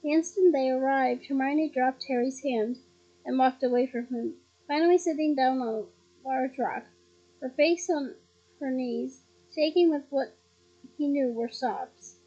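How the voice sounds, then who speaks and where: A slightly hoarse woman reading a novel aloud at home, breaking off for chatty, giggly asides. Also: high voice, unhurried, warm tone, muffled recording. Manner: chatty, giggly asides between read passages. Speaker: reader of a novel. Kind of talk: casual read-aloud with asides. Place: at home on her bed.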